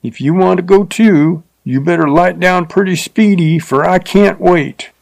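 A man voicing an uncouth, leering frontier rider with a rough drawl and bad grammar. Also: high voice, unhurried, darkish tone, voice pushed up. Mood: gruff, mocking.

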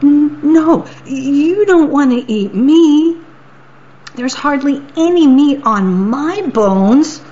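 A woman's voice: sits high, lively intonation, takes it slowly, mellow, voice pushed up. A woman voicing a small, timid male billy goat who stammers and pleads, on a dull lo-fi recording. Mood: nervous.

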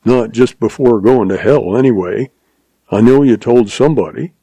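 A man's voice: mid-range voice, moderate pace, gravelly, dark tone, slightly rough, no room sound. A man playing a tall, tough cowboy rustler hunter who speaks in terse, gritted frontier talk. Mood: menacing.

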